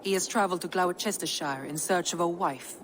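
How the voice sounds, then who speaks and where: A woman playing a composed, wryly amused Viking-age advisor in a video game, with measured delivery. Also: lowish voice, slight background noise, close to an everyday voice. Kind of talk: dramatic video game acting.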